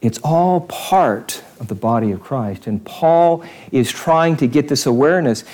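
A man's voice: mid-range voice, animated, a little slow, a little mellow. A male pastor giving a conversational sermon in church, repeating phrases for emphasis.

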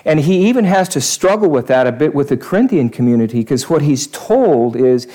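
A man's voice: fairly high pitch, lively intonation, brisk, warm tone. A male pastor giving a conversational sermon in church, repeating phrases for emphasis.

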